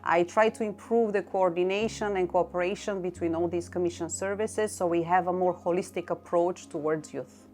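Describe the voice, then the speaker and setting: A middle-aged woman from Bulgaria with a Bulgarian accent, explaining her work in a measured way and listing items one by one. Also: fairly low pitch, darkish tone. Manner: measured, lists items one by one with short pauses. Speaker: EU youth coordinator. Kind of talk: explanatory talk to camera. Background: faint background music.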